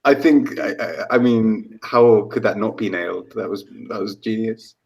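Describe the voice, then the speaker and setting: A man's voice: fairly low pitch, moderate pace, creaky, darkish tone. A man co-hosting a casual chat, hesitant and searching for words. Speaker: co-host.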